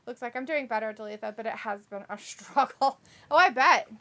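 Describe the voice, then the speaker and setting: A woman's voice: on the high side, brisk, fairly bright tone. A woman co-hosting a wrestling watch-along, chatting casually, reading viewer comments aloud and often breaking into laughter.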